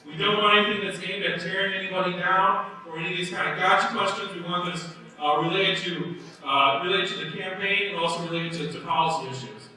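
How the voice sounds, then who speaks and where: A man's voice: high-pitched, pushed and bright. Young man hosting in an echoey hall. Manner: informal, halting, lots of fillers. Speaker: forum moderator. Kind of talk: announcements and forum hosting. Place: large hall. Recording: echoey hall, mic heard from a distance.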